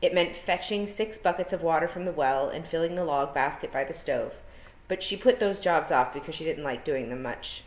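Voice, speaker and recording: Woman teacher, low voice, expressive story reader, echoey room. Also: normal speed. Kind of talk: reading a children's novel aloud. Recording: roomy echo.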